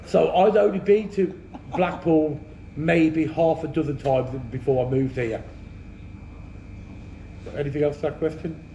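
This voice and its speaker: Gravelly Midlands man joking with friends. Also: a little high, steady tone, a little slow, pauses often, dark tone, roomy sound, lo-fi sound, a little hiss or hum. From English Midlands. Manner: blunt, teasing, laughs often. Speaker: channel host. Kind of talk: joking banter among friends.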